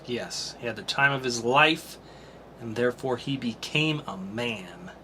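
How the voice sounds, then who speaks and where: A man's voice: medium pitch, expressive, a little slow, vocal fry, bright, forceful tone, slight background noise. A man hosting a casual podcast who introduces segments and teases his co-host playfully.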